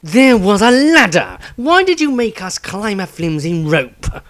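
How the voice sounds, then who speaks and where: Impatient fantasy storybook man, scolding, loud and raised in pitch, played by a male narrator. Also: big pitch swings, unhurried, heavily acted. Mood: angry scolding. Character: stern, short-tempered man with volatile moods.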